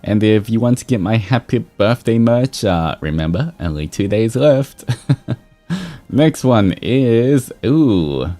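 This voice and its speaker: Deep, slow-paced man with animated delivery. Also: soft background music. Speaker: livestream host reading fan fiction aloud. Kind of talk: expressive story reading mixed with casual chat.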